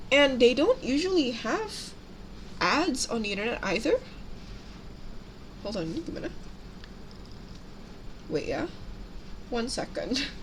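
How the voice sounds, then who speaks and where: A woman's voice: high voice, big pitch swings, unhurried, pauses often, a little hiss or hum, rough recording. A young woman from Indonesia, chatting casually and switching between two languages on a livestream while she paints miniatures. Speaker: streamer.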